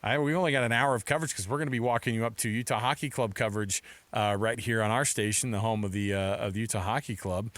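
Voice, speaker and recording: A man's voice: on the low side, fairly even intonation, fast, fairly bright tone, no echo. An American man co-hosting sports talk radio in a casual, rambling, conversational way.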